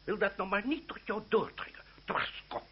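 An actor playing a hot-tempered, gruff older aristocratic uncle in a radio drama, stammering and exclaiming as he blusters. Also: sits high, normal speed, natural voice. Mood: indignant, blustering. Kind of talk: Dutch radio drama acting. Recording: muffled, noisy, humming old radio recording.

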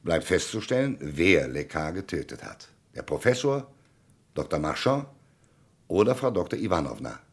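A low, animated male voice plays a shrewd commissioner in a German radio crime play. He is husky and insistent, laying out each point methodically and pressing for answers with theatrical emphasis. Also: normal speed, full character voice. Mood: insistent, deductive. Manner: methodical, probing, declamatory interrogation.

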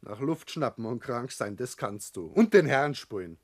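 Blunt, argumentative young Bavarian son. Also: male voice, medium pitch, sing-song, moderate pace. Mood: reproachful. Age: young adult. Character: ambitious, rebellious grown son of a builder. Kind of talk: 1960s German radio drama acting.

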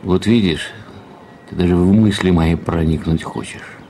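An actor in an audio drama playing a weary, melancholy ageing academic husband, musing with resigned affection. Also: male voice, low-pitched, steady tone, unhurried, warm tone, slight background noise.

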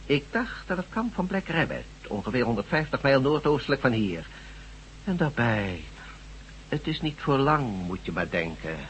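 An actor in a radio drama playing a cold, condescending, menacing regime doctor who speaks deliberately. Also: male voice, mid-range voice, sing-song, a bit bright, slight background noise, rough recording.

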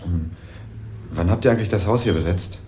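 Give me an actor doing a dry, wry young student narrator with a deep voice. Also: male voice, a little fast, darkish tone, a little hiss or hum, lightly acted. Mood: probing. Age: young adult. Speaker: first-person narrator and student. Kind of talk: scripted crime radio play narration.

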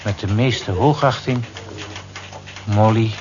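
Courteous office worker, muffled sound. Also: male voice, lowish voice, takes it slowly. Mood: appreciative. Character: courteous, tidy, kindly male office worker. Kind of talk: radio play acting. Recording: dull old radio play recording.

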